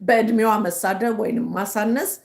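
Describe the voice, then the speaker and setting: An older Ethiopian woman with an Ethiopian accent, hosting a casual livestream. Her first language is Amharic. She speaks halting English full of fillers and slips quickly into Amharic. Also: fairly high pitch, creaky, darkish tone. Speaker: livestream host. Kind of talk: casual live-stream monologue. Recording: roomy echo.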